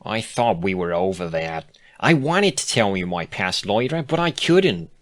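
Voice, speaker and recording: A man's voice: lowish voice, sing-song, a little slow. A man voicing an earnest, nosy female cartoon pony who presses and pleads emphatically in a storybook reading.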